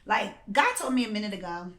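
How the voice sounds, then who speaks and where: Raspy, earnest young woman. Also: mid-range voice, sing-song, a bit bright. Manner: earnest, reflective, halting with many fillers. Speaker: guest singer. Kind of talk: casual chat.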